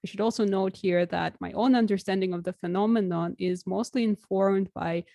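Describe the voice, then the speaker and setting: A young woman, a native Russian speaker, presents her research in a steady, explanatory lecture. Her voice is mellow and warm. The sound is close and dry, from a desk mic in a small room. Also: medium pitch, no room sound. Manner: clear and measured, occasional fillers. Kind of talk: recorded academic talk over slides.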